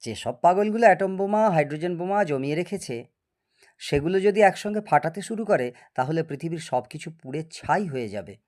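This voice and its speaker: A man's voice: a little high, expressive, brisk, a little mellow, lightly acted. A man playing a boastful, eccentric older scientist in an audio story, lecturing and teasing in a grandiose way. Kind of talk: dramatised audio story acting.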